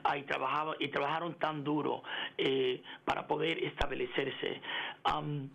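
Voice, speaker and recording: Halting, gravelly bilingual man. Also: on the high side, little pitch movement, fairly bright tone, lo-fi sound. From United States. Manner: halting, many fillers and restarts, mixes English words. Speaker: interview guest, engineering executive. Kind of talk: radio interview. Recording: radio broadcast.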